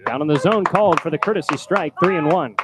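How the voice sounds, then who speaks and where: Male baseball commentator, higher-pitched, projects on calls, live booth feel with clear background noise. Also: quick pace. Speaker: baseball play-by-play announcer, also doing public address. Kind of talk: live play-by-play sports commentary. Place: press booth at a ballpark.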